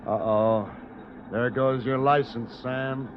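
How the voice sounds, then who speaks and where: An actor playing a dry, resigned airline co-pilot in an old-time radio drama. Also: male voice, medium pitch, fairly even intonation, slow, lightly acted. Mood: resigned, wry. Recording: muffled, noisy old radio broadcast.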